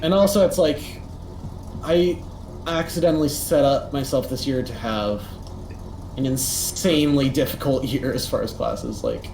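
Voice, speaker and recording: A teenage boy chatting casually as co-host, rambling and animated with lots of fillers. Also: medium pitch, moderate pace, creaky. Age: teens. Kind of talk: casual chat. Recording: echoey room, faint noise.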